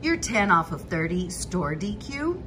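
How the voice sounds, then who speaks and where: A woman's voice: on the low side, very animated, measured pace, noisy background. A woman hosting a couponing channel, walking through store deals in a methodical voice-over, price by price.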